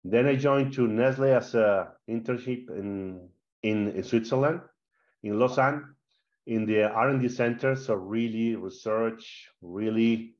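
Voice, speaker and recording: A middle-aged man from Spain with a Spanish accent, a guest telling his career story in a casual interview, animated, with many fillers and repeated words. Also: mid-range voice, a little slow, muffled recording.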